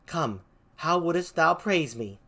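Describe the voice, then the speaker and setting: Someone putting on a theatrical young noblewoman, lilting pitch. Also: animated, takes it slowly, bright, forceful tone, exaggerated voice. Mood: playful. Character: Desdemona, gentle, spirited young noblewoman. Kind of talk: amateur Shakespeare read-aloud.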